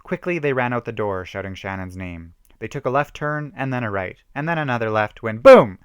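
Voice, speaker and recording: A man's voice: medium pitch, lively intonation, a little fast, smooth, no echo, clean studio sound. A man giving an amateur dramatic reading of a horror story, with theatrical emphasis.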